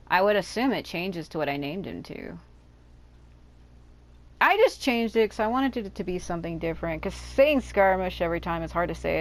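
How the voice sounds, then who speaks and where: A woman hosting a gaming channel, talking casually over her gameplay with rambling, joking asides. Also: fairly low pitch. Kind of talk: casual let's-play commentary. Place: hot room at home.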